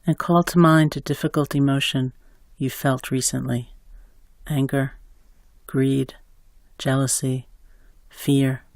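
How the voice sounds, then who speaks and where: A man slowly guiding a compassion meditation, calm, with long pauses between phrases. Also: mid-range voice, dry recording, clean studio sound. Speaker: meditation guide. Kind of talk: guided meditation.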